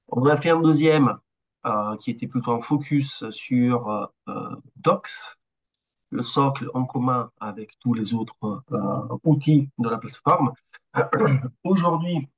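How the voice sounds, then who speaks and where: A man in his forties from Italy with an Italian accent, a bit ill, presenting a webinar as a consultant and speaking haltingly with many hesitations. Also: mid-range voice, breathy edge, dull audio. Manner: halting, with frequent hesitations and restarts. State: slightly ill.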